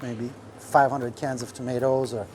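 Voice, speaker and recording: Man talking shop, halting. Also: mid-range voice, little pitch movement, slight background noise. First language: French. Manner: rambling, many fillers, searches for words. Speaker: restaurant owner. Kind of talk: casual podcast talk. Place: restaurant kitchen.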